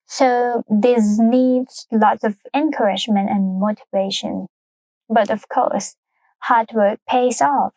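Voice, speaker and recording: Woman with a high, dry voice. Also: fairly even intonation, a little mellow, dry recording, poor audio. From Thailand. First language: Thai. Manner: careful, measured explaining in second-language English. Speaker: podcast co-host. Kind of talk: explanatory cultural talk.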